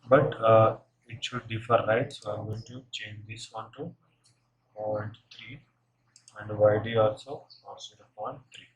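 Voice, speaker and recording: A man narrating a step-by-step software tutorial, unscripted and halting as he thinks aloud while working. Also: on the low side, fairly even intonation. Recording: noisy room, keyboard and mouse clicks.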